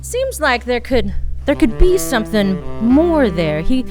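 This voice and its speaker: High-voiced hard-boiled lady detective played by an actress. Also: very animated, unhurried, over music. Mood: probing. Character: hard-boiled female private detective, brusque and weary, narrating her case. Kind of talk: noir radio play acting.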